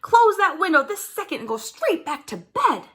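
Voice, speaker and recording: A woman voicing a firm yet tender mother in a children's storybook, gently instructing her child. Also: very high-pitched, big pitch swings, moderate pace, pitched above the performer's own voice, full character voice.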